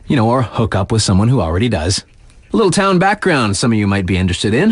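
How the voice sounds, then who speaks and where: An actor playing a laid-back, wisecracking mountain-town radio DJ in a snowboarding game, with casual patter and wry, deadpan asides. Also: male voice, mid-range voice, no room sound.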